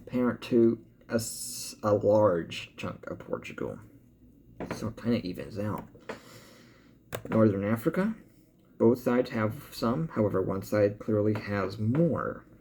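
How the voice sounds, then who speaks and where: A man with an American accent talking casually as a vlogger, thinking aloud in halting commentary as he reads through results. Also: mid-range voice, creaky, mellow, slight background noise. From born in Cuba, grew up in the US. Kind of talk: casual update talk, reading results aloud.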